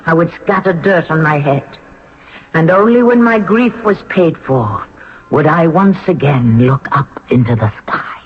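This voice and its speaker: A woman's voice: low-pitched, lively intonation, a little slow. An actress playing a proud, grieving Egyptian queen and mother with a solemn, dramatic manner in 1970s radio drama. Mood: bitter grief. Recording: muffled vintage radio broadcast.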